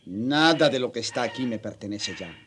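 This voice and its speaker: A man's voice: medium pitch, expressive, normal speed, a bit bright, slightly rough. An actor playing an earnest, defiant Spanish priest who has given up his riches, in a radio drama. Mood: earnest conviction. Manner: earnest, unrepentant.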